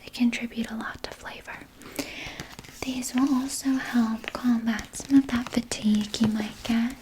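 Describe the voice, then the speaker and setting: A woman voicing a sly, doting vampire nurse in a breathy, soothing whisper for an ASMR roleplay. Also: a little high, fairly even intonation, noisy background, natural voice. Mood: soothing, caring. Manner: slow, soothing, coaxing, softly articulated. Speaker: ASMR performer.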